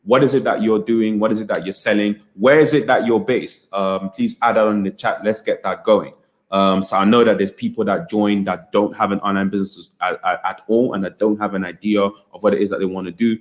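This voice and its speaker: Brief: young British man, lowish voice, chatty livestream host, upbeat and encouraging. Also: fairly even intonation, brisk. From United Kingdom. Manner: chatty, encouraging, repeats phrases, frequent fillers. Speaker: business coach hosting a livestream. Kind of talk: casual livestream talk to chat.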